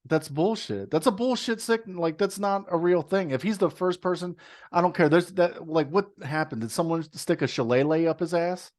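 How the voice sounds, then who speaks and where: A man's voice: fairly high pitch, normal speed, a little mellow. A man co-hosting a podcast in casual chat, ranting with profanity and disbelief. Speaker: podcast co-host.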